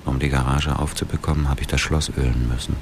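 Cold widower out for revenge, super deep voice, controlled menace, radio play with noisy background. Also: male voice, steady tone, fast talker. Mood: brooding. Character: cold, bitter widower bent on revenge, middle-aged man. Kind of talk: radio drama narration and dialogue.

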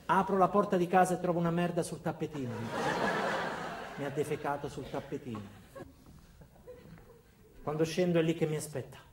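A man with a high voice narrates a comic stage monologue. He speaks in short, clipped phrases with dramatic pauses. The room is echoey, with faint noise behind him. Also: fairly even intonation. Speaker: stage actor performing a monologue. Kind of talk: theatrical first-person comic narration. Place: indoor theatre.

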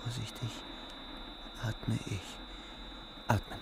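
An actor playing a panicking soldier in a radio drama, breathless and urgent, repeating himself tensely. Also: male voice, fairly low pitch, little pitch movement, slow pace, vocal fry, very noisy. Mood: suffocating dread. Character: panicking soldier in a gas mask, tense inner voice.

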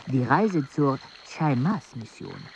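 An actor playing a calm male storyteller in a radio play, measured and unhurried. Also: medium pitch, vocal fry, slight background noise, heavily acted, low-quality recording.

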